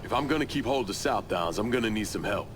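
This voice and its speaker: A terse, hard-edged hero speaking in short lines. His voice is low and steady. He never says more than he needs to. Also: fast talker, slight background noise. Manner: curt, clipped, tough. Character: terse tough male protagonist, a war veteran.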